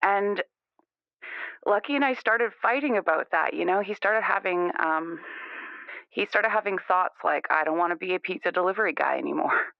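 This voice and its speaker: A man's voice: high voice, normal speed, creaky, smooth, no room sound. A man playing a defensive, conformist young caller, insistent and rambling, in an improvised radio call-in drama. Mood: earnest, troubled. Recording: band-limited, muffled phone-in radio sound.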